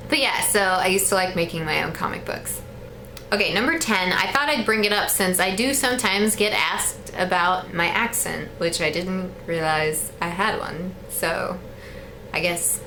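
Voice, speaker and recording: A woman's voice: on the low side, sharp tone, slight background noise, poor audio. A woman in her twenties with a Midwestern American accent, chatting casually to camera in a rambling way with frequent ums. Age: 20s. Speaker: vlogger.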